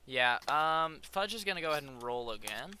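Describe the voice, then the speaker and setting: A man's voice: mid-range voice, lively intonation, moderate pace, a crowd in the background. A man running a tabletop game as game master, casual and hesitant.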